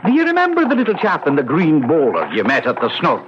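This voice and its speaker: Lilting, whimsical old Irish uncle. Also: male voice, on the high side, huge pitch swings, fast. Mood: teasing, sly. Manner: lilting, folksy, teasing. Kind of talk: radio drama acting. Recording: dull noisy radio drama recording.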